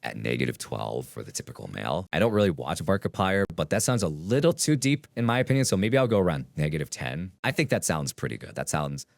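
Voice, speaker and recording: A man speaking as a deep male voice through an AI voice changer in a casual software tutorial. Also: very animated, quick pace, creaky, few pauses, no echo, studio quality, heavily acted. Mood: explanatory, casual.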